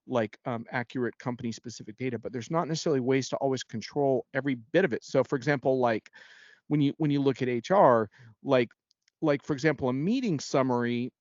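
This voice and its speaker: A man gives conversational expert commentary in a medium-pitched voice. He explains things informally, like a guest analyst, and often checks that listeners follow. The sound is dry and somewhat muffled, with a narrow band. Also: darkish tone, no room sound.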